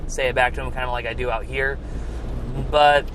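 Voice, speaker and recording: A man's voice: mid-range voice, steady tone, fairly quick. A young man co-hosting a casual podcast, rambling and trailing off with lots of filler words. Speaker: podcast co-host. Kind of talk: casual chat in a car. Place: inside a car. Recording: road noise in a moving car. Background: car and traffic noise.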